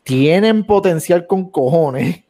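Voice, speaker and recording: A man's voice: a little high, animated, slow, warm tone. A man co-hosting a gaming podcast, talking casually with frequent restarts and English words mixed in. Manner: rambling, many restarts and Spanglish. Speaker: podcast co-host. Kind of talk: casual chat.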